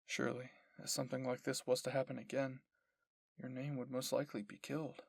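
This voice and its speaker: A man's voice: medium pitch, flat delivery, brisk, creaky, slightly rough, dry recording. A man narrating scripted fan fiction as the host of an audio story channel.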